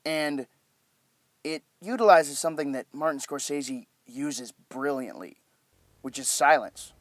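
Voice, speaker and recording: A man's voice: fairly high pitch, measured pace, no echo, studio quality. A man giving enthusiastic film scene commentary as a host, with emphatic, dramatic phrasing.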